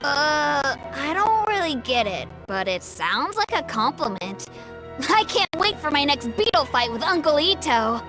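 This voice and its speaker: A woman's voice: high voice, very animated, unhurried, music in the background. A woman voicing an earnest, eager, innocent young child in video game dialogue.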